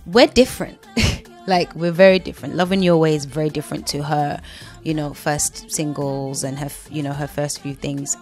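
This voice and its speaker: A young woman with a London accent chats freely in an interview. Her voice sits low and she tells her stories with plenty of restarts. Soft music runs faintly behind her. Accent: London English. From London, England. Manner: chatty storyteller, quick to laugh, talks through restarts. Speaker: singer being interviewed. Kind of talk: casual interview chat.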